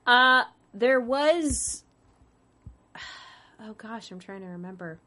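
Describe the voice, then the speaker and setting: Casual: young woman voice actress, giggly, keeps restarting sentences, kinda bright edgy voice. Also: a little high, expressive, takes it slowly. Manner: giggly, self-deprecating, rambling with restarts. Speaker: voice actress guest. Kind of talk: casual interview chat.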